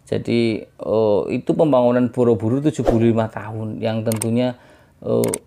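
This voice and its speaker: Guy w hosting a culture channel, gravelly hoarse voice, kinda sick sounding, explains stuff informally. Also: medium pitch, fairly even intonation, normal speed, background noise. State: hoarse, under the weather. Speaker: host of a heirloom dagger culture channel. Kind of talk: informal explanatory presentation to viewers.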